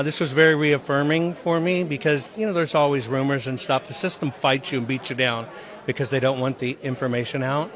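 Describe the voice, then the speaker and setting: A man's voice: a little high, fairly bright tone. A man giving conversational interview answers, explaining things in an earnest, emphatic way. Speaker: interview guest, audit presenter.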